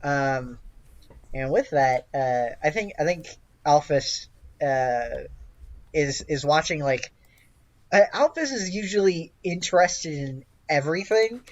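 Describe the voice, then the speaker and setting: A man narrating an improvised tabletop game as game master, with a hesitant, halting delivery. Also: fairly high pitch, lively intonation, lo-fi sound.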